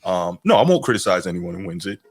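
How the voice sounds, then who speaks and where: A man co-hosting a podcast, talking sports in an easygoing, gracious way that often trails off quietly. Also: low-pitched, big pitch swings, fast, breathy edge. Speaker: podcast co-host. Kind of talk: casual sports chat.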